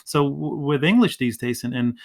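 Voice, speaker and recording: A man's voice: medium pitch, moderate pace, creaky. A Swedish man speaking English as a second language, a teacher chatting in an interview, often restarting his sentences. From Sweden. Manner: restarts a lot, acts out classroom dialogue. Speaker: ESL teacher, podcast guest. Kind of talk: casual interview conversation.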